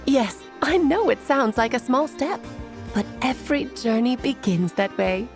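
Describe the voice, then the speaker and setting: A woman's voice: high voice, expressive, unhurried, over music. An actress playing a playful, self-assured, motherly great mage in a video game, breezy and theatrical, given to lecturing. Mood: thoughtful, instructive. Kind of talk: scripted video game character dialogue.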